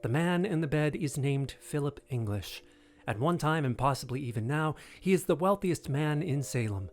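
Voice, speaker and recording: A man's voice: a little high, lively intonation, moderate pace. A man narrating an audio drama in a scripted, dramatic storytelling style.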